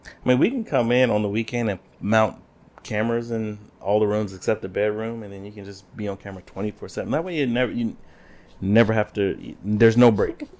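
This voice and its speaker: A man co-hosting a podcast, chatting casually with friends and teasing them deadpan. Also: fairly low pitch, fairly quick. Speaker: podcast co-host. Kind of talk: casual chat.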